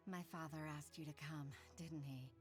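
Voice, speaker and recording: An actress voicing an earnest, somewhat weary young clerk in a video game. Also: female voice, low voice.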